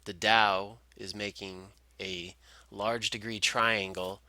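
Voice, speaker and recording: A man's voice: lowish voice, little pitch movement, slow, pushed and bright, no room sound. A man giving informal, explanatory screen-share commentary as a market analyst, talking through charts step by step.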